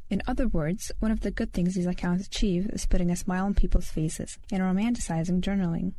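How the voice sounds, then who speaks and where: A teenage girl hosts her own podcast in English, her second language, with a Lithuanian accent. Her voice is soft and mellow, with a dark tone. She reads a prepared script in an earnest way. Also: fairly low pitch, low-quality recording. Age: teens. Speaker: podcast host. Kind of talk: scripted solo podcast monologue.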